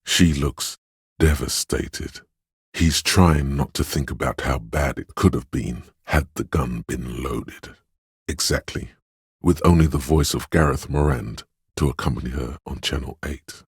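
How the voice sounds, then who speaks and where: An actor playing a grave, compassionate inner voice of empathy in a game, narrating in a measured, solemn and tender way. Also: male voice, extremely low pitch, breathy edge, no room sound, clean studio sound, heavily acted. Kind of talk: dramatic game narration, second person.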